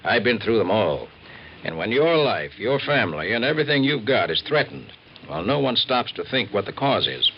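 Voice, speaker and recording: An actor playing an earnest investigator, narrating and interviewing deliberately and dramatically in a scripted 1950s radio documentary. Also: male voice, fairly low pitch, big pitch swings, slight background noise. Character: earnest male investigator narrating a civil defense report. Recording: dull old radio recording, music behind.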